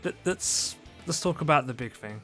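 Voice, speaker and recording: A man's voice: medium pitch, lively intonation, moderate pace, pushed and bright. A man hosting a podcast in casual chat, joking in a mock-earnest, sweary way. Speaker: podcast host. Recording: music playing behind the voice.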